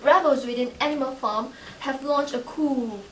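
A woman's voice: sits high, normal speed, a little hiss or hum, natural voice. A young woman playing an earnest television news anchor, reading a scripted mock newscast. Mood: formal. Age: young adult. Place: indoor room with wooden panels. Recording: echoey room, distant camera mic.

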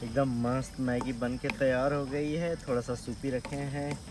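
A chatty man in his thirties talks to a handheld camera outdoors, laughing easily and drifting between languages. His pitch sits in the middle range. Light music plays faintly behind him. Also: fairly even intonation, unhurried. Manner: chatty, laughs often, mixes in English. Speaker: family vlogger. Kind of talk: casual vlog narration. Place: outdoors at a campsite and a beach. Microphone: handheld camera at arm's length.

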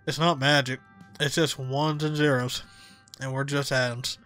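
A man reading the lines of a calm, brainy young male bear in a video game, low and matter-of-fact. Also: a little slow, soft background music, close to an everyday voice. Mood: deadpan.

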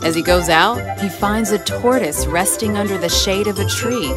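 A woman narrating an animated children's story in a clear, even storytelling manner. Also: mid-range voice, normal speed. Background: music.

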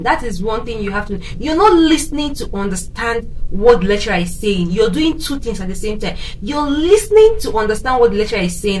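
A woman's voice: on the high side, a bit bright, distant mic, slight background noise, low-quality recording. A young woman with a Nigerian accent, chatting to camera in a casual vlog with frequent laughs. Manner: chatty, gesturing, laughing often. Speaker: education vlogger. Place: small indoor room with shelves.

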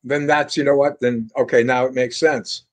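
An older man from France, speaking at an ordinary middle pitch, tells his stories in a loose, rambling way full of fillers and restarts. He comes through a video call from a home room lined with bookshelves. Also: steady tone, normal speed. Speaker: guest guitarist. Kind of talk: casual interview storytelling.

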